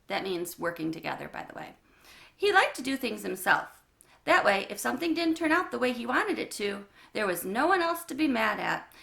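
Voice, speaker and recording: A woman reading a children's book aloud in a warm, expressive storytelling manner. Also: medium pitch, creaky.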